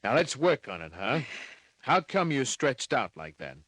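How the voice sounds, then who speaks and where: Wisecracking male detective, radio crime drama, medium pitch, terse delivery, dry studio sound. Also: big pitch swings, unhurried, a bit bright, dry recording. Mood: sceptical. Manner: terse, wry, clipped phrases. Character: hard-boiled, wisecracking male private detective. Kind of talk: 1950s radio crime drama narration and acting.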